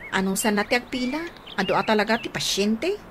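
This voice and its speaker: An actress with an Ilocano accent playing a coy young student being courted in a radio comedy drama. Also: female voice, medium pitch, expressive, fairly quick, background noise, natural voice.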